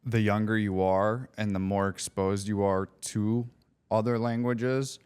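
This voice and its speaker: A man's voice: lowish voice, steady tone, measured pace, a little mellow, clean tone. A man co-hosting a podcast in casual chat, talking loosely and sometimes searching for words; Polish was his first language. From United States. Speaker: podcast co-host.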